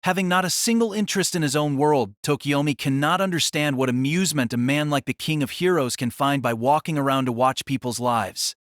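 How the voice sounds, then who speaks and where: A man's voice: on the high side, fairly quick, hardly any pauses, dry recording, clean studio sound. A man reading scripted dramatic story narration as the narrator of an audio drama.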